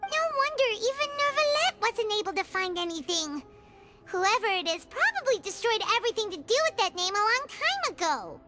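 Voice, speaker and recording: A woman voicing a tiny, excitable, easily scared fairy companion in a video game, with a squeaky, childlike voice and exclamatory delivery. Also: a little fast. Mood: discouraged. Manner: refers to self in third person, exclamatory. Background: game music.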